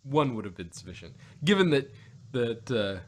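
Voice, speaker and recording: A man with a mid-pitched voice chats casually as a podcast co-host. He rambles through his points, circling back to rephrase them, and slips into animated asides. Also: normal speed. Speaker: podcast co-host reviewing audio dramas. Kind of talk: casual unscripted chat.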